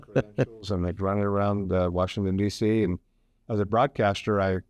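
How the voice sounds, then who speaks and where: A man's voice: fairly low pitch, little pitch movement, moderate pace, a little mellow. A man in his sixties, a professor from Hawaii, talking through his career on a radio interview with many fillers and restarts. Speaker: professor and guest.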